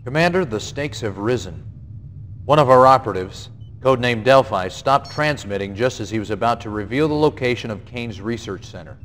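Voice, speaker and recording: A man's voice: mid-range voice, expressive, brisk, lightly acted. An actor playing a stern, confident male military general, giving an authoritative, plain-spoken mission briefing in a video game. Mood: grave, urgent. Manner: authoritative, colloquial. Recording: long reverb, faint noise.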